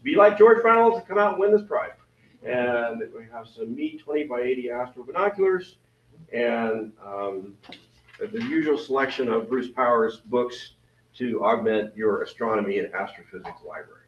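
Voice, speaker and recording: A deep-voiced man gives club meeting announcements in a rambling, joking, self-deprecating way. He is picked up from across an echoey room. The sound is dull and muffled. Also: animated, moderate pace, a little hiss or hum. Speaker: event committee member. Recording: roomy, distant, dull meeting recording.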